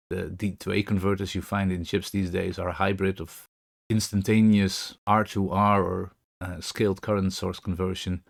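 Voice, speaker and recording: A man presenting an informal, unscripted technical explanation in a measured way, as a voice-over. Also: deep voice, gravelly, darkish tone, no echo, studio quality.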